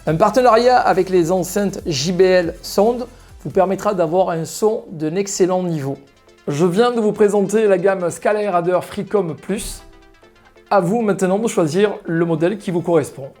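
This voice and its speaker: A man's voice: high-pitched, normal speed, darkish tone, faint music behind. A man giving an explanatory, semi-scripted product presentation straight to viewers as a shop presenter. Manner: explanatory, addressing viewers directly.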